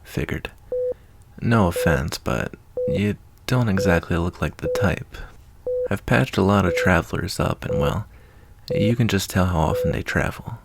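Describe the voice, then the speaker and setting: A man playing a kindly, easygoing wasteland doctor in a soft-spoken, intimate ASMR roleplay, reassuring a patient in a folksy way. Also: low voice, lively intonation, a little slow, creaky, heavy background noise, exaggerated voice. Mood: gentle, folksy.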